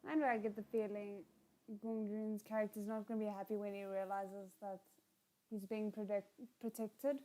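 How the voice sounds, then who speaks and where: A woman's voice: medium pitch, level tone, moderate pace, mellow, poor audio. Woman casually chatting through a live watchalong. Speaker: watchalong reaction host. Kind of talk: casual live reaction chat with viewers.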